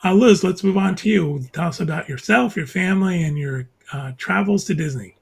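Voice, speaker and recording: A man hosting a casual video panel chat, introducing guests and asking questions in a friendly, steady way. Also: high-pitched, normal speed, gravelly, husky. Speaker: podcast host.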